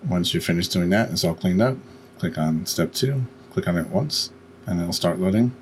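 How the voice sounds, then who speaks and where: Very low-voiced man giving a tutorial. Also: expressive, moderate pace, slight background noise. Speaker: tutorial host. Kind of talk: step-by-step screen-recorded software tutorial.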